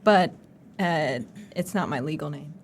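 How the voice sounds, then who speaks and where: Young american woman hosting a chat, kinda low voice, animated storyteller, lots of "like". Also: unhurried, a little hiss or hum. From United States. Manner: lively storyteller, many "like" fillers. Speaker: podcast host. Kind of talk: casual chat.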